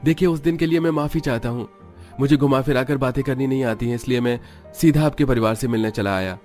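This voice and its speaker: पुरुष की आवाज़ में घमंडी अमीर नौजवान, ऊँचा सुर. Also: male voice, medium pitch, fast talker, a little mellow, soft background music, pitched above the performer's own voice, close to an everyday voice. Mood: earnest, pleading. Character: proud rich young man, blunt but devoted.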